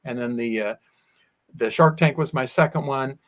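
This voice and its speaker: A man's voice: mid-range voice, normal speed. A man talking at length as an interview guest, telling personal anecdotes and often acting out his own past words. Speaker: guest, sword swallower. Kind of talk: animated anecdotal interview storytelling.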